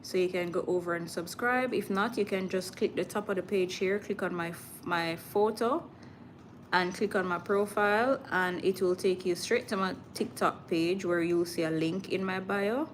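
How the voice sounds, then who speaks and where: A woman's voice: lowish voice, moderate pace. Jamaican woman chatting live, noisy background. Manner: informal, drifting into Patois. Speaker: livestream host. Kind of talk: casual live chat with viewers. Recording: clear background noise.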